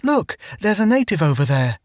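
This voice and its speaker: A woman's voice: lowish voice, very animated, normal speed, darkish tone, no room sound, studio quality, voice pushed up. A man voicing a bossy, scheming young woman explorer who is sweetly polite one moment and flustered the next. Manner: gushing, grandly polite, then flustered.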